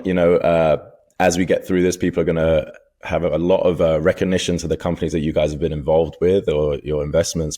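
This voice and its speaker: A man hosting a podcast, opening with a scripted introduction before moving into relaxed interview questions. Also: low-pitched. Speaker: podcast host.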